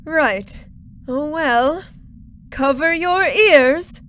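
A woman's voice: high voice, very slow, heavy background noise. An actress playing a delicate, dramatic lady soprano with an affected manner in an audio drama. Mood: reluctant, dramatic.

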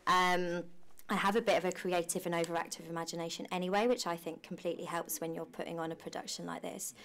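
A woman's voice: lowish voice, flat delivery, quick pace, fairly bright tone. A young British woman talking informally and at length in a documentary interview, as a director of stage shows. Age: young adult. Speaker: pantomime director and producer. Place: small costume room indoors.

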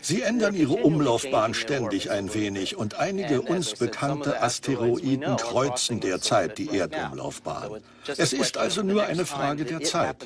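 Serious scientist, measured explanation, documentary dub, medium pitch, voices in background. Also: male voice. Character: earnest male scientist warning of cosmic threats. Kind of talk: dubbed documentary expert explanation.

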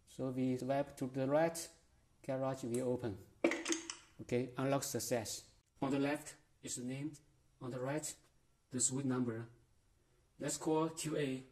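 A man giving a casual step-by-step product demonstration in short, halting phrases, in a small room. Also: mid-range voice, mellow, slight background noise. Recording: music behind the voice. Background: music.

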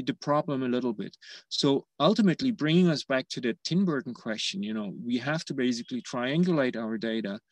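A man's voice: mid-range voice, no room sound. Man answering in an academic webinar. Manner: thoughtful, many fillers like "you know, like". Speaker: panel speaker. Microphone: video call.

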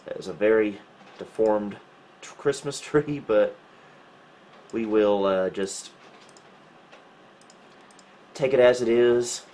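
A man with a fairly low voice hosts a casual tech video from a small room at home. He thinks aloud in a wry, self-deprecating way while troubleshooting. There is clear noise behind his voice. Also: slow pace, long pauses, warm tone. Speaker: host of a retro computing video.

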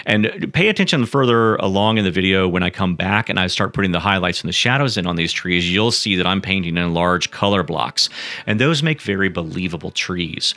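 A man's voice: deep voice, animated, fairly quick, sharp tone. A man giving a casual, rambling painting lesson, full of fillers and restarts. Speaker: painting instructor. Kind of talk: casual painting tutorial voice-over.

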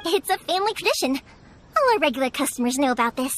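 A woman playing a cheerful, energetic young fireworks maker in a video game, chatty, emphatic and protective. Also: high voice, quick pace, a little hiss or hum. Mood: reassuring. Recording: music under the voice.

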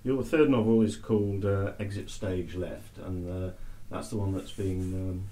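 A man's voice: sits low, fairly even intonation, a little slow, warm tone, roomy sound. A man interviewing guests on local TV who asks his questions hesitantly and stumbles over his words.

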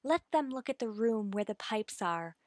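A woman playing an earnest, anxious woman in love with a widower, in slow, pleading, melodramatic old-time radio suspense style. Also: a little high, expressive, a little mellow, no echo, clean studio sound, close to an everyday voice. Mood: tender, pleading. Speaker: radio drama actor.

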